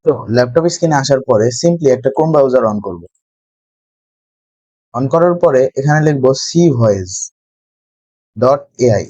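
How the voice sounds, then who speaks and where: A man's voice: medium pitch, expressive, a little slow, long pauses, warm tone, no echo, highs cut off. A man narrating a step-by-step screen tutorial as its host.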